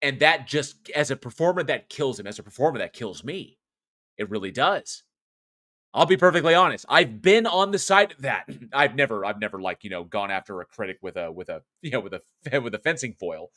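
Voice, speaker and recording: A man in his forties with a bright, clear-toned voice at a middling pitch, co-hosting a horror film podcast. He talks with passion and rambles, restarting sentences and throwing in the odd curse as he reviews a film. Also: expressive, fairly quick. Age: 40s. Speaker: podcast co-host. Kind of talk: casual film review chat.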